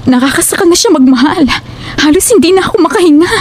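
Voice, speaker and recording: A very high-pitched young Filipino woman played by an actress in an audio drama, fed up with a clinging ex. She speaks firmly and with exasperation, over faint background noise. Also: fast talker, a little mellow. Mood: weary, annoyed. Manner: firm, exasperated. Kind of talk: Tagalog audio horror drama acting.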